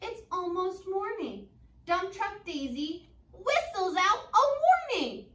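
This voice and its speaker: Young woman, children's read-aloud, teacherly, sing-song, unhurried pace, very lively intonation, echoey classroom. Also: very high voice. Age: 20s. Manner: expressive, sing-song, asks questions and pauses for answers. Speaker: preschool teacher. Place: indoor school classroom. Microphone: camera a few metres away. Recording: echoey room, distant microphone.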